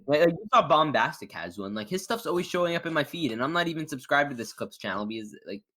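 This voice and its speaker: A man's voice: on the high side, lively intonation, fast, bright, forceful tone. A man chatting casually as a podcast host and YouTuber, in a rambling, stop-start way with frequent stammers. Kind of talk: casual chat interview.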